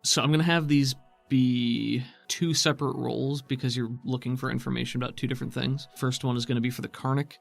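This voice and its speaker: Gravelly man running a tabletop game. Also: mid-range voice, steady tone, a little fast, soft background music. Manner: conversational, explanatory, casual swearing. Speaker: game master. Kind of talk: explaining rules and narrating a tabletop game.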